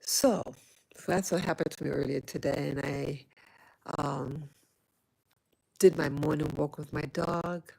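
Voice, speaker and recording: Slow, gravelly-voiced woman vlogger. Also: low-pitched, fairly even intonation, a little mellow, rough recording. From New York City. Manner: rambling, many fillers and restarts. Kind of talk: casual chat to viewers. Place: home in Rwanda.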